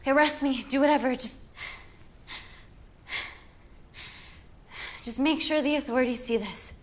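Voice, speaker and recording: A woman's voice: high-pitched, fast, close to an everyday voice. A woman playing a determined agent in a live-action thriller game. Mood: desperate, urgent. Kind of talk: film-style dramatic acting in a game. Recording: clearly noisy background. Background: ambient noise.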